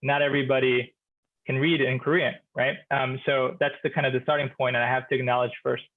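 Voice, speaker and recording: A man talks through a video call, his gravelly voice squeezed into narrow, tinny audio. He speaks like a literature professor, thoughtful and hesitant, restarting his sentences as he works out each thought. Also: mid-range voice, little pitch movement, brisk. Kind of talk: casual expert discussion.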